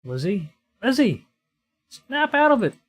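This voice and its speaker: A man's voice: very high voice, very animated, a little slow, voice pushed up, heavily acted. A man voicing a frantic, protective friend in a horror visual novel. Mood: alarmed.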